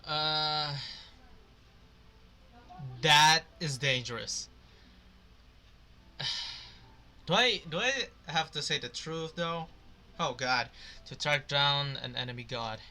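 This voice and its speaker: Slow, musing male gamer with bright voice. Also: on the high side. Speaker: gamer playing and reacting. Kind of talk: casual let's play commentary, reading choices aloud.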